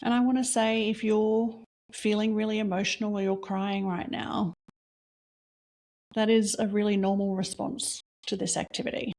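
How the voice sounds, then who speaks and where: Slow, gentle woman guiding a reflection. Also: mid-range voice, steady tone. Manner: slow, soft-spoken questions with long pauses. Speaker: meditation guide. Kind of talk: guided reflection exercise.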